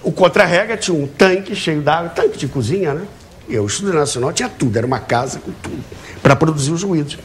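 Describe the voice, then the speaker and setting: A man's voice: on the high side, lively intonation, fast. A Brazilian man talking as a talk show guest, telling anecdotes in a jovial way and acting out dialogue as he goes. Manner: jovial, acts out quoted dialogue. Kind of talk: animated anecdote telling to a host. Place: TV studio with audience. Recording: clearly noisy TV studio audio.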